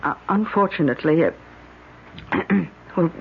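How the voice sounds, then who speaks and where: Careful doctor, muffled old radio. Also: female voice, fairly low pitch, measured pace, creaky. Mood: gentle, hesitant. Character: careful, sympathetic woman doctor breaking bad news. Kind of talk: old-time radio drama acting. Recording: dull, hissy old radio recording.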